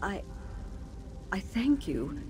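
An actress voicing the ghost of a tormented woman teacher in a video game, speaking in a measured, grave and sorrowful way. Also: mid-range voice, music in the background. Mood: grateful. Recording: game audio with steady background noise.

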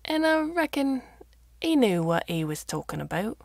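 Storybook role: blunt rural farmer played by a woman, gravelly, rustic dialect. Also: a little high, big pitch swings, moderate pace, a little mellow, dry recording, studio quality, pitched above the performer's own voice. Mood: matter-of-fact. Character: gruff, blunt old Yorkshire mammoth farmer, male.

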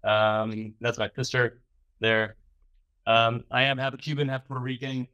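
A man's voice: medium pitch, flat delivery, unhurried, pushed and bright, clean tone, highs cut off. A man in his forties from the southern United States, giving a casual, rambling, self-deprecating talk with many fillers at a symposium. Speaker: presenter at a symposium. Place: lecture room.

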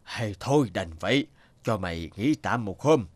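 A man voicing a worried farmer in an animated folk tale, with drawn-out, fretful exclamations. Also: lowish voice, dry recording. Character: worried bearded farmer talking to his horse.

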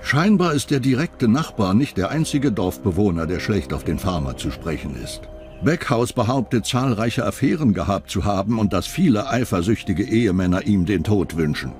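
A man narrating a scripted true crime documentary in a measured way that builds suspense. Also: lowish voice, expressive, over music.

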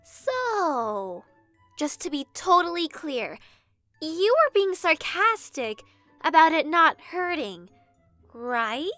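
An eager little cartoon kitten with a very high, lively voice. She is childlike, earnest and naive, excitable and unhurried in her delivery. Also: no echo, faint music behind, exaggerated voice. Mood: hesitant, sheepish. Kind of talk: animated comic dub acting.

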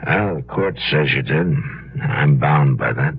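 An actor playing a stern, weary American frontier marshal in 1950s radio western style, measured and plain-spoken. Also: male voice, very deep voice, expressive, breathy edge, heavily acted. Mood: grave, restrained. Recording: dull, noisy 1950s radio recording.

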